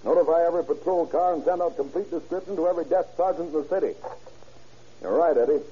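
A man's voice: fairly high pitch, fast, warm tone, husky. An American actor playing a terse, hard-boiled man in an old radio crime drama, on a muffled, noisy recording. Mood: brisk, urgent. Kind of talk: 1930s-40s radio crime drama acting.

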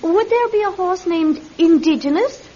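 A woman's voice: extremely high pitch, expressive, moderate pace, mellow, slight background noise. An actress playing a proper, anxious, hard-up British mother in a classic radio drama, polite and genteel yet firm. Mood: hesitant, intent. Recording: muffled radio recording.

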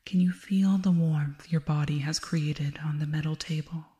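A calm alien girl played by a woman hypnotizes her human captive in an ASMR roleplay. Her voice is low, soft and mellow, mostly whispered with a breathy edge. She gives soothing commands with quiet, measured authority. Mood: calm, controlling.

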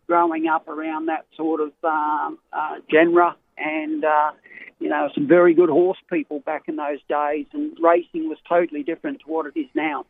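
Gravelly Australian man on a phone line. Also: a little high. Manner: rambling, hesitant, many fillers and restarts. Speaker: racehorse trainer guest. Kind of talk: casual radio interview. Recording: tinny phone line with faint hiss.

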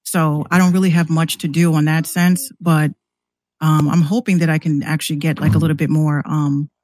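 A woman's voice: deep voice, level tone, normal speed, a little mellow, dry recording. Casual woman, dry close sound. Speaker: podcast guest and musician. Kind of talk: casual chat.

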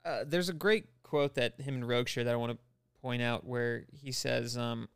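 A man with a smooth, resonant voice and an average pitch talks as a podcast co-host. He is enthusiastic and casual, and the sound is dry and close. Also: moderate pace, no room sound. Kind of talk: casual pop-culture discussion.

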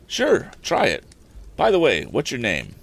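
A man voicing an eager young inventor, brisk and helpful. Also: medium pitch, huge pitch swings, gravelly, pitched above the performer's own voice, full character voice.

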